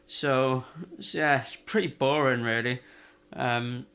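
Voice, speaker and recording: Bright-voiced, congested young man. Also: medium pitch, fairly even intonation, takes it slowly, creaky. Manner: rambling, chatty, frequent fillers and restarts. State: congested and coughing, sore throat. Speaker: vlogger. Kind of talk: casual video diary. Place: at home.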